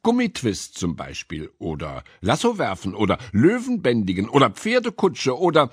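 A man's voice: medium pitch, huge pitch swings, moderate pace, breathy edge, no echo, clean studio sound. A man voicing a small, playful, eager girl in a children's storybook. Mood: cheerful.

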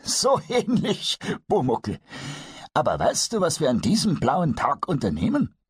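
A man voicing a kindly old master carpenter in a storybook reading, patient and gently explaining. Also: on the high side, huge pitch swings, unhurried, husky, dry recording, clean studio sound, voice pushed up, heavily acted. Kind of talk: storybook character voice.

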